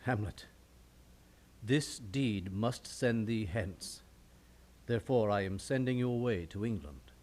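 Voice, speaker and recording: A man's voice: on the low side, animated, slow, darkish tone. A man acting a commanding, formal stepfather king in a theatrical Shakespearean puppet show. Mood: grave. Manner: formal, imperious.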